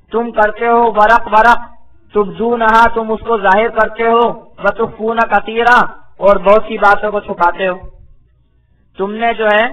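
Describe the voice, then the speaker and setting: A man's voice: high voice, fairly bright tone, lo-fi sound. A man giving a religious lecture as a Quran teacher, reciting verses phrase by phrase, then translating and explaining them with didactic repetition. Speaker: Islamic scholar, Quran teacher.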